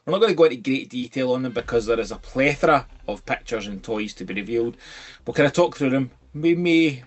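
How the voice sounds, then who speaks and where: A man's voice: medium pitch, lively intonation, quick pace. A Scottish man co-hosting a casual chat from home, jokey and rambling. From Scotland. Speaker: co-host.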